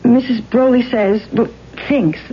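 High-voiced, earnest young actress played by a woman. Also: fairly even intonation, measured pace, natural voice. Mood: defensive. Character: earnest, excitable young aspiring actress in love. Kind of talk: 1970s radio drama acting. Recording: muffled, noisy 1970s radio recording.

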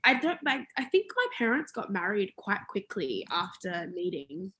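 A woman's voice: medium pitch, big pitch swings, moderate pace, sharp tone, slight background noise. Hesitant young woman, casual chat. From Australia. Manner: hesitant, many fillers and restarts. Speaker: interviewee. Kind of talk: casual conversation about family history.

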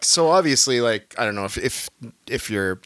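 A male podcast host with a voice of middle pitch, talking loosely and halting often over ums and restarts. The recording is close and dry, with no room sound. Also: lively intonation, a bit bright, no echo. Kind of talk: casual podcast chat.

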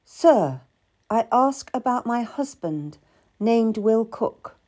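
A woman narrator plays a Tudor servant woman in a historical audiobook. Her voice sits high and moves freely in pitch. She is earnest and urgent, beseeching her betters. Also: lively intonation, takes it slowly, mellow. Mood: proud, earnest. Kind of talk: dramatised audiobook character.

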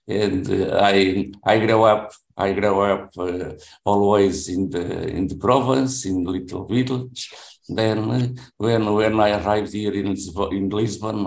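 A man in his seventies from Portugal, speaking English with a Portuguese accent, introducing himself in class in a halting way with many restarts. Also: sits low, vocal fry, darkish tone. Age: 70s. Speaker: language student. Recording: roomy echo.

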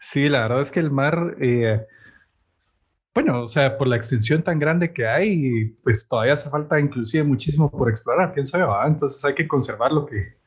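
A man co-hosting a casual panel chat, talking haltingly and repeating words. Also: medium pitch, husky, muffled recording. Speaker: co-host.

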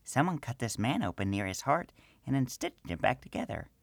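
A man's voice: mid-range voice, sing-song, brisk, creaky, no room sound, voice pushed up. A man voicing a jumpy, scolding middle-aged female gnome priestess in a storybook reading. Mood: brisk.

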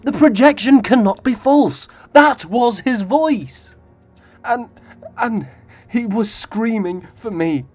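A man's voice: extremely high pitch, expressive, slow, a little mellow, soft background music, full character voice. An actor playing a noble young king in a fantasy audio drama, speaking in formal, archaic, dramatic phrases. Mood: anguished, pleading. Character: noble young male fantasy king, devoted, desperate lover.

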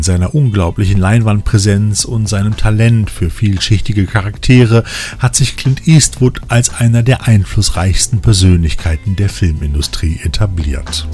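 A man's voice: lowish voice, measured pace, a little mellow. A man reading scripted narration as a podcast host. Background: background music.

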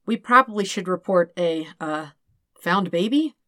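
A woman's voice: fairly low pitch, expressive, a little slow, sharp tone, no echo, studio quality. A woman voicing a casual, blunt, long-suffering man with a wry, offhand manner in an audiobook story. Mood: resigned. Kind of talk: acted dialogue in fan fiction audiobook.

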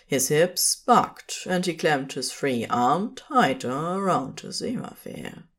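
A narrator reading scripted fan fiction as intimate storytelling, with deliberate and expressive phrasing. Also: medium pitch, husky.

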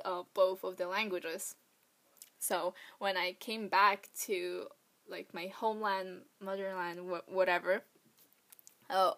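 A woman's voice: mid-range voice, fairly bright tone. A young woman hosting her own podcast, chatting casually and rambling with lots of "like" and "um"; Vietnamese was her first language. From Vietnamese family, raised in Poland. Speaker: podcast host. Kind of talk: casual solo podcast chat.

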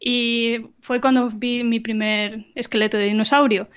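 A woman with a slightly high, smooth voice talks thoughtfully about her work, pausing and restarting often. Her voice comes through a remote call that sounds muffled and lo-fi. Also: flat delivery. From Argentina. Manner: thoughtful, many fillers and restarts, light laughs. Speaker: guest scientist. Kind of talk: casual podcast interview. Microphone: remote video call.

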